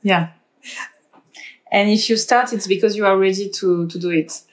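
Woman host, gentle encouraging questions. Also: medium pitch, moderate pace. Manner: encouraging, asks careful questions. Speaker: podcast host. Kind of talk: casual interview.

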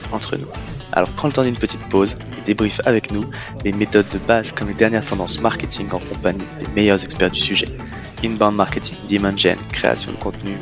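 A man with a fairly low voice reads a scripted podcast intro, addressing the listener directly in a welcoming tone. Clear background music plays under his voice throughout. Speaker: podcast host.

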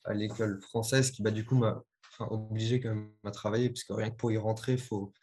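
A young adult man speaking casually as an interviewee, relaxed and easygoing. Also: lowish voice, brisk. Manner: relaxed, casual, slightly laughing. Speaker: interviewee, son.

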